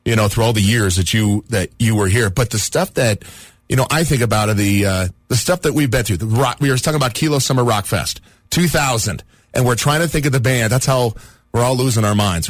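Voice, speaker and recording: A man's voice: mid-range voice, no room sound. A man at a radio studio reminiscing on air in a loose, rambling way, full of "you know" and "uh". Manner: rambling, reminiscing, lots of fillers. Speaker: radio station staffer. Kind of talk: casual storytelling on air.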